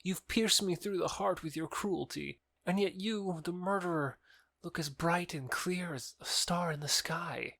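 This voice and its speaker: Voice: medium pitch, measured pace, gravelly, fairly bright tone, dry recording. An actor playing a lovesick, bitter young man rejected by his beloved, earnest and wounded, in an audio drama. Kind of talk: theatrical audio drama acting.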